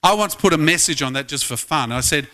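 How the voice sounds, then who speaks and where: A man's voice: a little high, lively intonation, a little fast, sharp tone. A middle-aged man preaching a sermon in a chatty way, often asking his congregation questions. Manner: conversational, asks the congregation many questions. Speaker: pastor.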